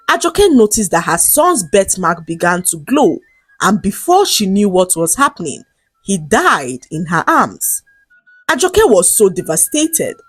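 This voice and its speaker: A woman narrates a folktale in a deliberate, dramatic storytelling style. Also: mid-range voice, breathy edge, dry recording.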